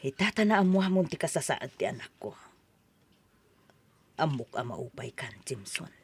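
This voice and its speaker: A woman's voice: a bass voice, very animated, moderate pace, husky, full character voice. A woman playing a stern, scheming mother in a melodramatic audio drama, speaking with emphatic pressure. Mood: grave pleading.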